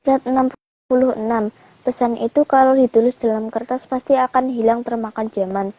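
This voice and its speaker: A woman reading slide text aloud in an even, scripted recitation. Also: a little high, brisk, no room sound, highs cut off. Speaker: slide reader.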